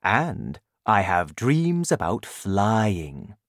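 A woman voicing a gentle, gloomy male giant in a children's story, talking in a slow, sad, matter-of-fact way. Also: rumbling low, very animated, no room sound, clean studio sound, exaggerated voice. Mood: explaining.

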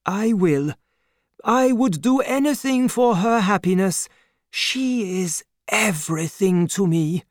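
A man's voice: high-pitched, expressive, takes it slowly, no echo, voice pushed up. Brief: man doing an older fatherly doctor, raised thin voice, strained and pleading, dry close sound. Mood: sad, tender. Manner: pleading, emotional. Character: aging gentle male doctor, anxious and fatherly.

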